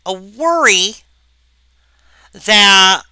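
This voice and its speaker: A woman giving a recorded philosophy lecture, halting and full of wry asides to the viewer. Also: mid-range voice, lively intonation, pushed and bright, clear, resonant tone, dry recording.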